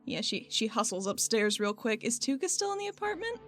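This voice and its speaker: A woman player talks through a tabletop game in a casual way. Her voice sits fairly high, with soft music behind her. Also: sing-song, fairly quick.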